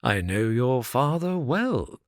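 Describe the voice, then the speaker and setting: A man doing a sly male fox who speaks slowly and courteously. His wheedling voice dips and rises. The recording is dry and close. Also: mid-range voice, sing-song, dry recording, pitched above the performer's own voice.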